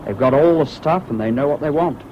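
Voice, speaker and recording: An actor playing an intense, disillusioned young war volunteer in a radio drama, speaking emphatically. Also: male voice, fairly high pitch, brisk, darkish tone, lightly acted. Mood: bitter, grim. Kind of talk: 1970s British radio drama acting. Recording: dull, hissy old radio recording.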